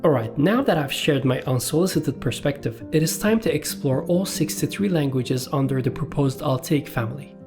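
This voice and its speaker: A man from Turkey, a native Turkish speaker, giving a scripted educational narration in a measured, list-reading way. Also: a little high, expressive, over music. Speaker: language video host.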